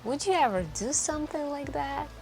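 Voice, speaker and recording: A woman playing a mysterious, lonely late-night café stranger in an intimate ASMR roleplay, soft-spoken and wistful, musing hesitantly with lingering pauses. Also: high voice, animated, low-quality recording. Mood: dreamy, longing. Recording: background music.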